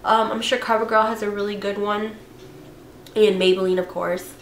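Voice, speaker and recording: A young woman with a hoarse voice from a cold, chatting casually through a makeup tutorial with fillers and self-corrections. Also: medium pitch, little pitch movement, normal speed, roomy sound, slight background noise, low-quality recording. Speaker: beauty vlogger.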